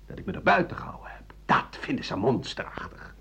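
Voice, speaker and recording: An actor playing an embittered older French doctor and writer who defends himself against treason charges in an aggrieved, emphatic radio play monologue. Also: male voice, medium pitch, sing-song, fairly quick, creaky, a bit bright, husky, exaggerated voice. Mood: indignant. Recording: dull 1980 radio drama recording.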